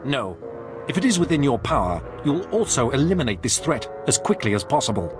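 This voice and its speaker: An actor playing a stern Imperial army major in a video game, giving clipped, authoritative orders. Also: male voice, mid-range voice, close to an everyday voice. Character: stern, commanding male Imperial military major. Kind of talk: video game cutscene acting.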